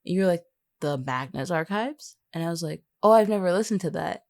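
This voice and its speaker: A woman's voice: fairly low pitch, animated, brisk, no room sound. A woman chatting casually as a podcast co-host, rambling and teasing as she goes. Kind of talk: casual behind-the-scenes podcast chat.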